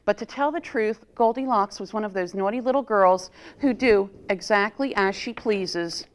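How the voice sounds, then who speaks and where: A woman reading a children's story aloud as a storytime reader, in an expressive, deliberate manner. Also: medium pitch. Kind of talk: expressive picture-book read-aloud for children.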